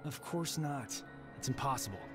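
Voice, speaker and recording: An actor voicing a level-headed, knowledgeable man in a video game, measured and matter-of-fact. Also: medium pitch, animated, close to an everyday voice. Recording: music under the voice.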